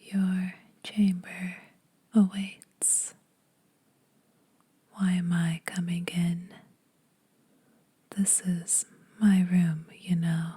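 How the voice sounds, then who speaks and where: Woman as a slow whispering female vampire. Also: fairly low pitch, flat delivery, dark tone, heavily acted. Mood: dry teasing. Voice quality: breathy, whispered. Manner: slow, deliberate, faintly formal teasing. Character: sour, teasing female vampire, predatory yet protective. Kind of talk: whispered ASMR roleplay.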